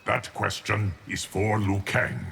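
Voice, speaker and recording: A solemn, ageless guardian from a fighting game. The voice is deep and creaky, with grave, measured, stoic delivery and faint noise behind it. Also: male voice, flat delivery, fairly bright tone, big-room sound, full character voice. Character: Geras, ancient, solemn male eternal guardian. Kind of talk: fighting game character banter.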